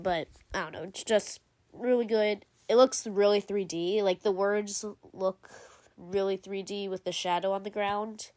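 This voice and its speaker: A woman's voice: mid-range voice, darkish tone. Rambling woman doing casual voice-over. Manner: rambling, filler-heavy, frequent "like" and self-corrections. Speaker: video creator ranking game cutscenes.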